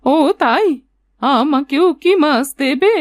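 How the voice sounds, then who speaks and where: A woman's voice: sits high, animated, slow pace. A woman voicing a blunt old fishing grandmother in a cartoon fairy tale. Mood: eager. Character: old fishing grandmother, blunt but honest to her word. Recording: music under the voice.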